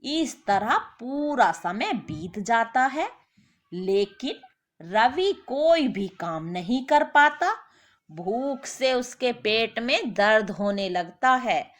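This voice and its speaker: A woman's voice: fairly high pitch, lively intonation, extremely slow. A woman narrating a scripted story as a storyteller.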